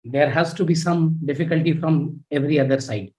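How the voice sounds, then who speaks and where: A man with an Indian English accent teaches history in a live online class, speaking in a soft, low-volume voice. His pitch sits a little high for a man, and he talks in a measured, instructive way. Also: low-quality recording. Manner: measured, instructive, reads out student comments.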